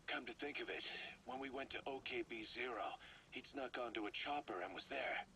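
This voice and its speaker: An actor playing a shrewd, wary military officer in a video game, speaking in a measured, analytical, wry way. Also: male voice, medium pitch, sharp tone, slight background noise, low-quality recording. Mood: puzzled, suspicious. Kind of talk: scripted game dialogue, briefing on a cassette tape.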